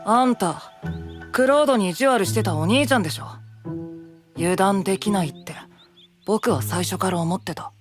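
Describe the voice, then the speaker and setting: A man playing a guarded, sharp-tongued young knight in an anime-style voice drama, speaking bluntly. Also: sits high, moderate pace, pauses often, close to an everyday voice. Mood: accusing, distrustful. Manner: blunt, accusing. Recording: music under the voice.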